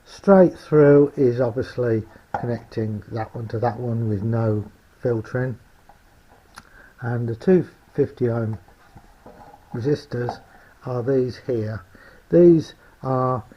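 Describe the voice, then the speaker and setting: Gravelly man, slow and halting. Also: mid-range voice, dull audio. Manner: hesitant, halting, explanatory. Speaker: amateur radio hobbyist presenting a homemade build. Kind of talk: informal hands-on demonstration.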